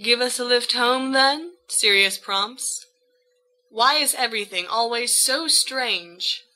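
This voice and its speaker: A woman's voice: on the high side, takes it slowly, bright, forceful tone, poor audio. A woman narrating fiction as an audiobook reader, voicing the dialogue as she goes.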